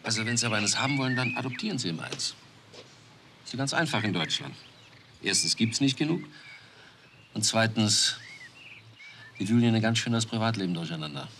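A bitter, resigned adoptive father with a lowish voice who talks on at length. Clear background noise sits under him. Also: big pitch swings, a little fast, long pauses.

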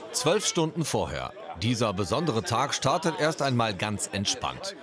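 A man's voice: mid-range voice, big pitch swings, a little slow, a crowd in the background. A man narrating a regional TV news feature as a scripted voice-over.

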